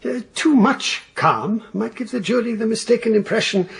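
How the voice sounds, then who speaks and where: An actor playing a fussy, hesitant Victorian defense attorney in a radio drama, speaking formally and haltingly with a husky, creaky voice. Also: male voice, high-pitched, exaggerated voice. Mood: measured concern.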